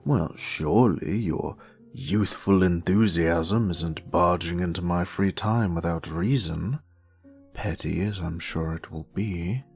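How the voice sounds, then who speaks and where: Man playing a slow, gravelly British grumpy wizard. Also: low-pitched. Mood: haughty, suspicious. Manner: theatrical, haughty, drawling, dry sarcasm. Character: grumpy, sassy male wizard, exiled former professor. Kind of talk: scripted character monologue, audio roleplay.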